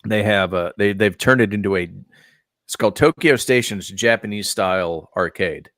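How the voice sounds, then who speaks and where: A man's voice: fairly low pitch, normal speed, a bit bright, no room sound, studio quality. A man from Texas hosting a casual chat podcast, rambling with lots of fillers and restarts. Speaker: podcast host. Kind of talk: casual chat about wrestling and gaming.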